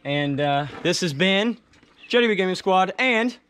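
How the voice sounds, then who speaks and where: A man's voice: high-pitched, animated, a little slow. A young man, chatting casually and joking while riding four-wheelers outdoors on a farm. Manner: joking, calls out to riders. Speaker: vlogger. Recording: loud engine and wind noise.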